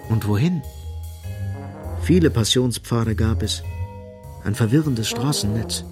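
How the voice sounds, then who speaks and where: An actor as a grave, dramatic literary narrator in a radio play. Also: male voice, fairly low pitch, measured pace, lightly acted. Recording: music underneath.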